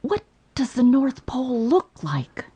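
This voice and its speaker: A man voicing an earnest young boy in a children's storybook, with a husky tone. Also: very high voice, huge pitch swings, unhurried, dark tone, voice pushed up, heavily acted. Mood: hesitant curiosity.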